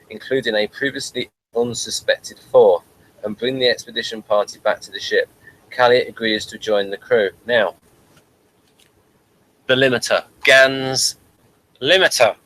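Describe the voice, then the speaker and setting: A man's voice: medium pitch, a bit bright, rough recording. A man hosting a casual TV review show, talking and reading out material. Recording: faint background noise.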